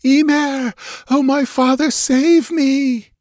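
Man putting on a shrill, pleading young woman. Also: steady tone, slow pace, a little mellow, dry recording, clean studio sound. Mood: terrified. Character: young woman crying to her divine father for rescue. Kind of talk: dramatic fantasy audiobook acting.

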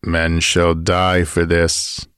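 A man voicing a somber, gentle wandering swordsman with a soft, grave murmur, in an audiobook adventure. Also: low voice, takes it slowly, no echo, studio quality, heavily acted. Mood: cold resolve.

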